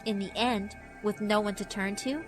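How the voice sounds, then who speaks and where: Music plays clearly behind a cruelly amused female school spirit, performed by a woman. Her voice sits at a medium pitch as she narrates a horror visual novel with theatrical, mock-polite menace, taunting the listener with pointed questions. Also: fairly even intonation, normal speed, lightly acted. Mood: gleeful, taunting.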